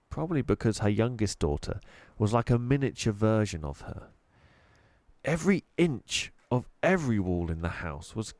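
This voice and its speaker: A man narrating a children's storybook expressively, with deliberate, dramatic emphasis. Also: lowish voice, darkish tone, no room sound. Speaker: storybook reader.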